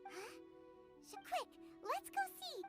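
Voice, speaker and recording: A tiny, perky game fairy, squeaky and extremely high. Her childlike, impatient exclamations leap wildly in pitch. Game music plays behind her. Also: big pitch swings, slow, heavily acted. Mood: surprised. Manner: talks of self in third person, exclamatory. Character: small childlike floating fairy companion, female, perky and impatient. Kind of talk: video game voice acting.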